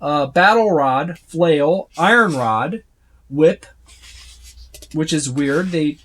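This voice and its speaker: A man's voice: fairly high pitch, expressive, slow, fairly bright tone. A man giving a casual, unscripted walkthrough of a book, rambling and often listing items one by one. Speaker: tabletop gaming reviewer.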